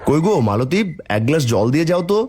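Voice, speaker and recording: A man's voice: fairly high pitch, sing-song, quick pace, a little mellow, a little hiss or hum. A man voicing a kindly village farmer and father with an affectionate, plain-spoken manner in a children's cartoon. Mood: calling out.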